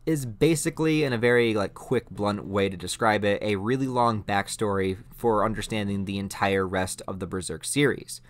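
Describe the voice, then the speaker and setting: A man's voice: lowish voice, no echo. A man hosting a video essay, explaining things in an emphatic, conversational way with scripted commentary. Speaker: video host reviewing anime.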